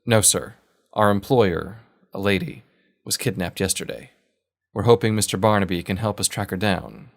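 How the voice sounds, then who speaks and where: A man acts out a grave, loyal companion in an audio drama. He speaks low and plainly, always respectful and earnest. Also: normal speed, creaky. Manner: serious, plain-spoken, polite. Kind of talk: audio fiction character voice.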